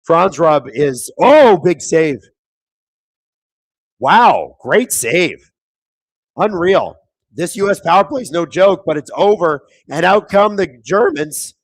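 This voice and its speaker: Hoarse, raspy man hosting a hockey watchalong. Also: high-pitched, takes it slowly, long pauses, no room sound. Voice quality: thin, raspy voice. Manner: casual, reads viewer chat aloud; excitable play-by-play on big moments. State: hoarse, voice squeaking. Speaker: watchalong host. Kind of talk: live hockey watchalong commentary. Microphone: streaming microphone.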